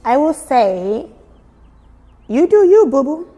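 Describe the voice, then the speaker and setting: A woman from Nigeria with a Nigerian accent mixed with British and American, giving expressive, emphatic answers in a casual interview. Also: sits high, takes it slowly, muffled recording. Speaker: fashion blogger being interviewed. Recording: very echoey room with music. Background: music.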